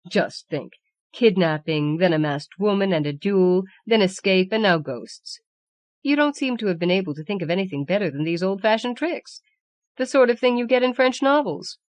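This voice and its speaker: A woman's voice: medium pitch, no room sound, lightly acted. Woman reading as a formal, dissatisfied man complaining. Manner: formal, reproachful. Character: dissatisfied man politely scolding his unimaginative master.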